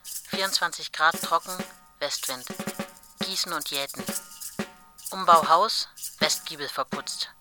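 An actress playing a brisk farmhouse wife reading out her terse, matter-of-fact household diary in a radio play. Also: low voice. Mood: brisk, factual. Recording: music and drums behind voice. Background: percussive music.

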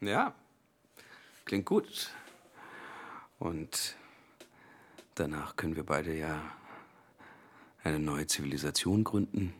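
A man's voice: a bass voice, takes it slowly, pauses often, heavily acted. An actor in a radio drama playing a pushy, self-absorbed forty-year-old man stuck in a waiting room. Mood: flirtatious, smug.

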